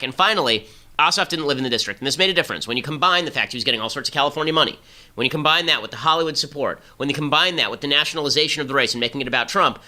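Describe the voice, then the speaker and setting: A man hosting a political podcast, delivering rapid, emphatic, argumentative commentary. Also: fairly high pitch, bright, forceful tone. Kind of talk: fast political commentary and ad read.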